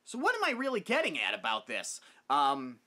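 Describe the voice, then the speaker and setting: A man's voice: fairly high pitch, huge pitch swings, fairly quick, pushed and bright. A young man talking casually and emphatically to camera, giving his opinions as a video host in a room at home. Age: young adult. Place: small wood-paneled room at home.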